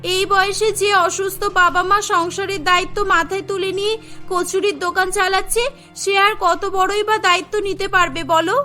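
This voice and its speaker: A woman's voice: very high voice, level tone, moderate pace, pushed and bright, faint music behind. A woman voicing an earnest, dutiful young girl in a cartoon, speaking respectfully to her elders. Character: hardworking young girl, dutiful poor daughter running a snack shop.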